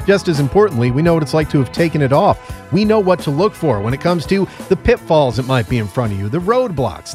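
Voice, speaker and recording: A man's voice: medium pitch, no echo. Guy hosting morning radio, chatty and upbeat, plain middle voice, dry studio sound. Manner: upbeat, chatty, plugs the website. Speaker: radio show host.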